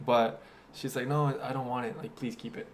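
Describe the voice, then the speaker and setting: A man's voice: mid-range voice, steady tone, normal speed, slight background noise. A young man, chatting casually and telling a story full of "like" fillers. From Japan. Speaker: guest. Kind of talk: casual chat.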